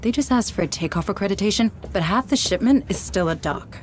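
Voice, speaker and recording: An actress playing a dutiful, by-the-book deputy administrator in a video game, speaking formally and earnestly. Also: female voice, medium pitch, animated, brisk, lightly acted. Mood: concerned, insistent. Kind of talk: dramatic video game dialogue.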